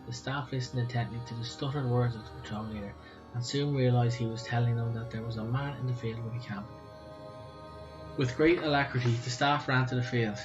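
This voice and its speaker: A man's voice: medium pitch, muffled recording. A man with an Irish accent, co-hosting a podcast and reading a story aloud. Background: music.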